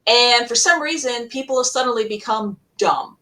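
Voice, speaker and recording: A woman panelist talking casually and enthusiastically about audio dramas in a rambling, animated way. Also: a little high, normal speed. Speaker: podcast panelist. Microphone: distant computer mic on a virtual panel. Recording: distant microphone.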